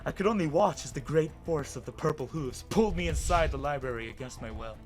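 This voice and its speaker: A man giving an expressive, dramatic first-person reading of a story as its narrator. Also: a little high, quick pace. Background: music.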